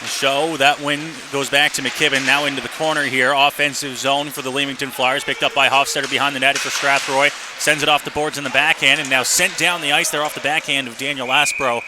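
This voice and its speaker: A man's voice: medium pitch, little pitch movement, a little fast, few pauses. A man doing live radio play-by-play commentary of an ice hockey game as the announcer. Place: indoor hockey arena. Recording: noisy live arena radio broadcast.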